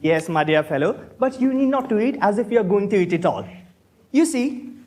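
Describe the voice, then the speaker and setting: High-pitched, airy young gentleman acted by a man. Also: expressive, a little fast. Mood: witty, bossy. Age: young adult. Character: idle, witty young Victorian gentleman, flippant and self-assured. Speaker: student actor in a stage play. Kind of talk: stage comedy of manners acting.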